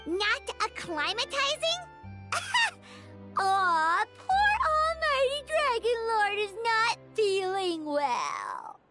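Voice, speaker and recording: A woman voicing a small, excitable childlike fairy companion in a video game, squeaky and dramatically exclamatory. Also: drawn-out words, loud music behind the voice, heavily acted. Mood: teasing. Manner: refers to herself in third person, exclamatory, dramatic. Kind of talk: animated video game voice acting.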